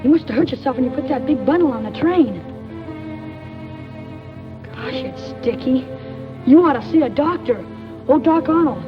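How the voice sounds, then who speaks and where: A chatty, curious young child with a high-pitched voice and a defiant streak. The delivery is 1940s radio acting, on a dull vintage recording with music behind it. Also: female voice, animated, normal speed, long pauses.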